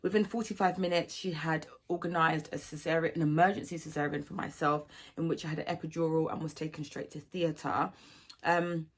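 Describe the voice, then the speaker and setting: A young mother from Ghana recounts her experience in a low, earnest voice. She talks steadily and pauses on soft ums as she goes. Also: steady tone. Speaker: mother sharing her birth story. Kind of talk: personal testimony.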